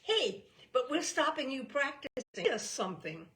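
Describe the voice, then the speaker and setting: A woman's voice: high voice, sing-song, measured pace, fairly bright tone, voice pushed up, full character voice. A woman voicing a gushing, amused, flirty blonde woman in a storybook reading, with teasing exclamations.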